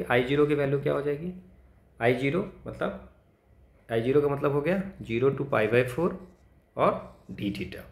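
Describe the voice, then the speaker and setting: A man's voice: a little high, creaky, echoey room. A man tutoring math, solving exam problems aloud, thinking through steps and correcting himself.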